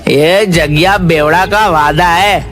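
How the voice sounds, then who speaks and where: A man's voice: a little high, takes it slowly, fairly bright tone. A man voicing a sly, wheedling village drunkard in a Hindi cartoon, boastful and eager to scheme. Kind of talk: Hindi moral-story cartoon acting.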